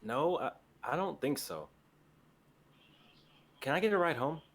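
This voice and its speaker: A man's voice: on the high side, lively intonation, a little slow. A young man playing an optimistic, easygoing store worker in a dramatic script reading. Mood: shaken, uneasy. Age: young adult.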